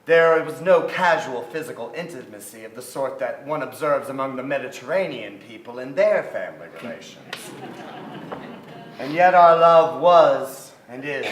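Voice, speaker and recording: An actor in his forties playing a reflective playwright remembering his troubled sister in a measured, literary staged reading. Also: medium pitch, close to an everyday voice. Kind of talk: dramatic staged reading of memoir. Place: theatre stage before an audience. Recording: echoey theatre stage, noticeable noise.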